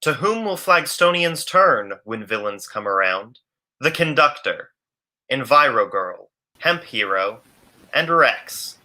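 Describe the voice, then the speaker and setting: A young man narrates a radio play in a dramatic scripted style. His voice is very bright and forceful, with slow, measured pacing. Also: medium pitch, rough recording. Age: young adult. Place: room at home, video call.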